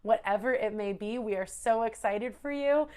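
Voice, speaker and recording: Smooth-voiced woman addressing graduates. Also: on the high side, moderate pace, a little mellow.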